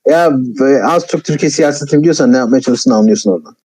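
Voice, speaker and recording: Blunt, fast-talking man with a mellow, darker voice. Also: medium pitch, breathy edge. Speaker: film commentator, video maker. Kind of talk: casual film criticism in conversation.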